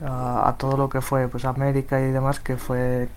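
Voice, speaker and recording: Flat-voiced man from Spain. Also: medium pitch, normal speed, slight background noise. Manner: flat, hesitant, many restarts. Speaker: volunteer software translator. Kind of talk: remote panel talk.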